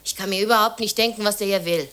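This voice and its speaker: A woman's voice: medium pitch, fairly even intonation, quick pace, a bit bright, natural voice. An actress in a German radio drama playing a weary, scornful woman with a blunt, cutting way of talking. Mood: indignant. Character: weary, scornful woman rejecting her suitor.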